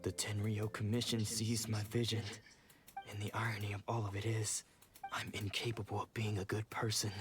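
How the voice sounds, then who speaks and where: A woman voicing a stern, disillusioned sword fighter in a video game, speaking gravely and formally. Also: a bass voice, level tone, normal speed, over music. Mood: brooding.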